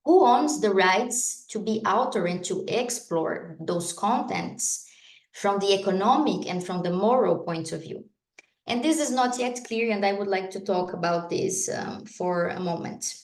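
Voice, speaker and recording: A woman from Brazil with a Brazilian Portuguese accent, a lawyer giving a conference talk, explaining in a measured way with frequent hesitations. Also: medium pitch, lively intonation. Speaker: guest speaker, lawyer. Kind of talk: conference presentation. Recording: echoey room.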